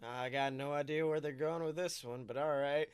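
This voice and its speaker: A man co-hosting a podcast in casual, comedic chat, telling stories in an animated way and swearing freely. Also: fairly high pitch, moderate pace, clear, resonant tone, no echo, studio quality. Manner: animated storytelling, acts out quotes, swears freely. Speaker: podcast co-host.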